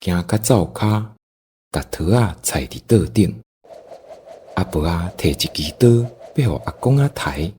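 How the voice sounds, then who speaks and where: A man's voice: low voice, lively intonation, measured pace, dark tone, slight background noise. A man with a Taiwanese accent narrating a scripted folk story as the storyteller.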